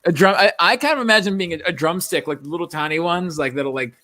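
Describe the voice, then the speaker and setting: A man's voice: on the high side, fast talker, bright, forceful tone, no echo. A man talking casually and informally in a group conversation. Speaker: table read participant. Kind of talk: casual chat.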